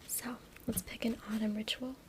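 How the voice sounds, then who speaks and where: A woman whispering breathily and softly in an ASMR style as she reads aloud, close to a USB microphone. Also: on the high side, little pitch movement, moderate pace. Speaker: ASMR content creator. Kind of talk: ASMR whispered reading from a book. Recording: very noisy close USB mic, clicks. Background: loud noise, tapping and scratching clicks.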